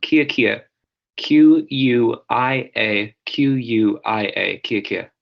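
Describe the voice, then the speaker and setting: A man's voice: mid-range voice, slow pace, gravelly. A man from Los Angeles competing in an online spelling bee, asking questions and spelling letters out carefully. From Los Angeles, USA. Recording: dull lo-fi call audio.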